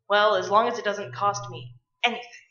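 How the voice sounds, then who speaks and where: A woman's voice: medium pitch, fairly even intonation, moderate pace, sharp tone, natural voice. A woman playing a skeptical, tight-fisted property owner, curt and snippy, in a staged old-time radio play. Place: live stage performance. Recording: dull, echoey live stage recording.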